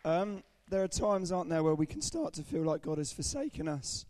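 A young man with a croaky, under-the-weather voice giving an earnest personal testimony at a church service, with frequent ums. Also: fairly high pitch, sing-song, a little fast, a little mellow, slight background noise. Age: young adult. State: hoarse, croaky voice from illness. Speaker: student speaker at a church service.

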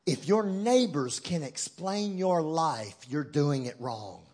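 Husky Texan preacher, joking and emphatic. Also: male voice, fairly high pitch, lively intonation, slow, a little mellow. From Texas. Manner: emphatic, joking, call-and-response with the crowd. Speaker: guest preacher. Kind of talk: sermon.

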